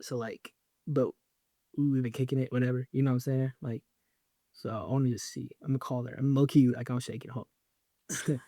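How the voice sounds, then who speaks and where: A young man riffs through his podcast in a loose, joking way, full of slang and fillers. His voice sits at an ordinary middle pitch. The mic is close and the room sounds dry, with no echo at all. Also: fairly even intonation, brisk, long pauses, mellow. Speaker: podcast host. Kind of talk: casual solo podcast talk.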